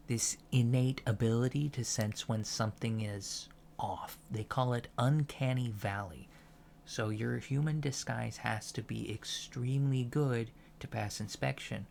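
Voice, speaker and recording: A soft-spoken man giving a deadpan, matter-of-fact ASMR roleplay lecture as a college teacher. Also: medium pitch, creaky, a little hiss or hum. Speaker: ASMR performer playing an alien college lecturer.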